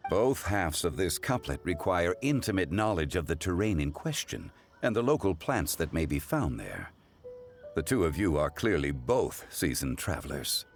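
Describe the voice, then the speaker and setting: Game cutscene: a deep, composed scholar critic; lively intonation, formal delivery. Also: male voice, soft background music. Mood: measured. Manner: measured, formal, explanatory. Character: calm, scholarly older-seeming man judging poetry.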